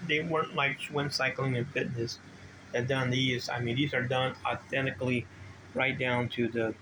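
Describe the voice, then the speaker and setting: A middle-aged or older man giving a casual, unscripted video walkthrough as a hobby collector, rambling and halting as he restarts his sentences. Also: medium pitch. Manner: rambling, halting, self-interrupting. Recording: clear steady background noise, ambient hum.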